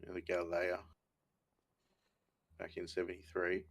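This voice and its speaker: A man's voice: low voice, monotone, measured pace. A middle-aged man with an Australian accent, chatting casually and laconically as a co-player on a gaming livestream. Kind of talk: casual chat while gaming.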